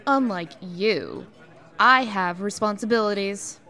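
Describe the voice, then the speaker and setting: Withering woman executive female actor: unhurried, icy sarcasm, medium pitch, comic dub. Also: sharp tone. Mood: scornful, sarcastic. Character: icy, venomous woman executive, scornful ex-wife.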